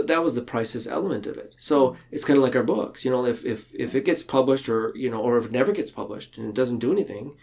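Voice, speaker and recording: Man talking reflectively, halting and earnest. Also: medium pitch, darkish tone. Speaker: podcast guest. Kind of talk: casual reflective conversation.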